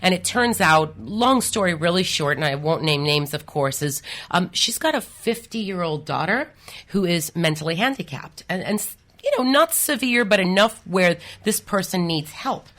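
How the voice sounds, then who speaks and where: Woman radio co-host and financial planner, slightly low voice, animated storyteller. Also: moderate pace. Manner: lively, animated storytelling, laughs and exclaims. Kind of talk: radio talk show chat. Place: radio studio.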